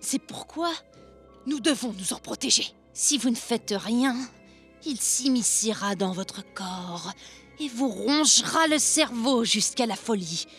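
A woman's voice: a little high, animated, slow pace, a bit bright, soft background music. A woman voicing a grave, preaching male priest who warns of plague in an ominous, persuasive, theatrical way. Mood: ominous warning. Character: sinister preaching messenger priest, grave man warning of plague.

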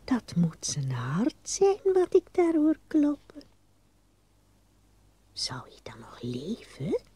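Lady doing a gentle little fairy tale girl, breathy, unhurried, mellow. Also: fairly high pitch, huge pitch swings, full character voice. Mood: tender. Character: tiny gentle, tender-hearted girl.

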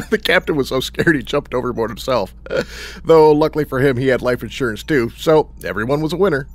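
An actor playing a jaunty, blasé, chatty regular customer with a reckless streak in a comedy audio drama. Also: male voice, medium pitch, animated, fairly quick, natural voice. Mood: breezy. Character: breezy, cheerfully reckless, chatty regular customer named Charlie.

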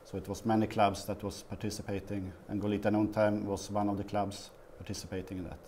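A Swedish man in his fifties, a guest in a small TV studio interview, talking haltingly with many fillers. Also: on the low side, level tone, mellow, slight background noise. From Sweden. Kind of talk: casual interview.

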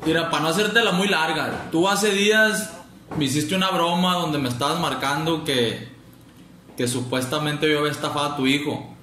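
Young Mexican prank host, slangy mock tough talk, far camera in a living room. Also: male voice, a little high, bright, forceful tone, a little hiss or hum. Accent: Mexican Spanish. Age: young adult. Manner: mock-threatening, slangy, fast. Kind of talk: prank phone call. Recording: echoey room.

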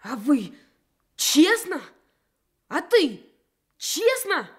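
A young performer playing a hurt, bitter and defiant schoolboy in a radio drama. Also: male voice, extremely high pitch, big pitch swings, drawn-out words, a bit bright, exaggerated voice. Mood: accusing.

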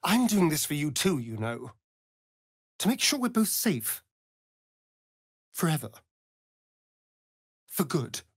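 Sardonic vampire rogue, arch and theatrical, slow drawl, wildly swooping pitch, video game character, dry close sound. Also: male voice, big pitch swings, dry recording, exaggerated voice. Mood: intense.

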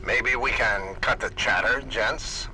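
A man playing a calm, calculating mission boss in a sci-fi audio drama, measured and authoritative. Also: mid-range voice, faint music behind.

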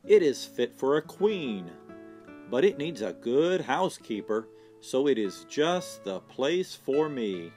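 Man voicing a sweet, lively house-proud lady squirrel. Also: mid-range voice, slow pace, faint music behind. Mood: admiring.

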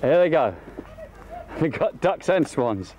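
Man, high voice, live commentary, organiser's instructions, handheld camcorder, outdoors. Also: very animated, slow, lo-fi sound, over a noisy crowd. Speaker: scout leader filming and running camp games. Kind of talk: live home-video commentary with shouted instructions. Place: outdoors at a riverbank and campsite. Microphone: behind a handheld camcorder. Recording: amateur camcorder audio outdoors.

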